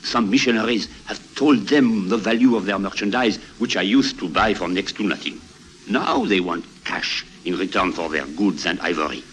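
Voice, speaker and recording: A man's voice: sits low, moderate pace, fairly bright tone, poor audio. A man playing a scheming old trader captain with a French accent in a 1950s radio drama, oily and chuckling. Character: sly old male ship captain, trader. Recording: faint hiss of an old broadcast.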